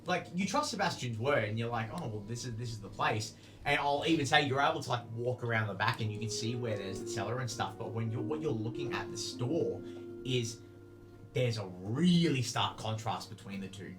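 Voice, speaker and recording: A man's voice: medium pitch, lively intonation, normal speed, a bit bright, low-quality recording. A man improvising narration as game master of a tabletop game, in casual, rambling explanations. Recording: music behind the voice.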